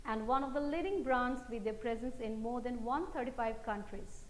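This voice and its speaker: A woman with an Indian accent anchoring a scripted news bulletin. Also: on the high side. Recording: roomy echo, faint background noise.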